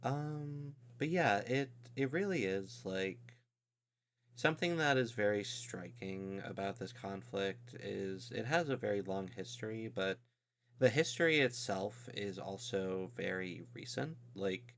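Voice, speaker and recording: A man's voice: on the low side, a little slow, fairly bright tone, slight background noise. A man hosting a podcast in casual discussion, talking loosely with frequent "like" and "um". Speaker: podcast host.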